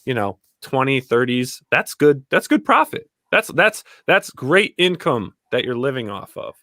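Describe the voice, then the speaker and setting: A man's voice: mid-range voice, big pitch swings, unhurried, a bit bright, no room sound, clean studio sound. A man talking bluntly and casually in an opinionated panel chat, repeating his key phrases for emphasis and swearing now and then.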